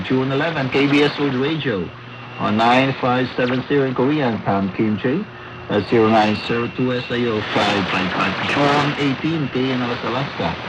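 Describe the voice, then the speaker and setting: A man from the Philippines with a Filipino accent, reading a scripted report as a radio correspondent. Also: medium pitch. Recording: noisy shortwave radio broadcast.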